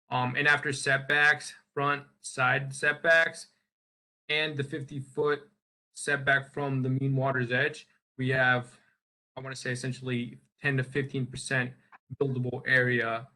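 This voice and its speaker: A man with a bright, forceful voice explains his building application to a board. He goes into detail and hedges his figures as he talks. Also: medium pitch, low-quality recording. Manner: explains at length, hedging as he goes. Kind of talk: explaining a plan at a meeting.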